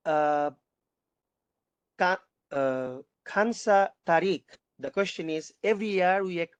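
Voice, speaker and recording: A panel moderator reading out audience questions, haltingly, often repeating and rephrasing. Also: mid-range voice, no room sound.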